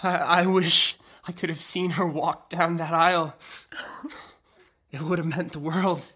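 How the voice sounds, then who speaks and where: A man acts out a young man facing death for his best friend in an audio drama. His voice is husky and strained yet bright-edged and tense. He speaks slowly and haltingly, with loving, forgiving resolve. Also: on the high side, fairly even intonation, heavily acted. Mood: hushed regret. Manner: halting, earnest, deliberate. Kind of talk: emotional audio drama acting.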